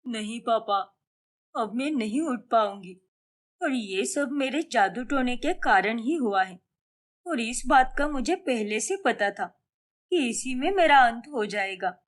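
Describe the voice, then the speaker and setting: A woman's voice: a little high, lively intonation, measured pace. Lady doing a wronged young sorceress out for revenge, accusing and dramatic, voice swings a lot, storybook cartoon. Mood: pained.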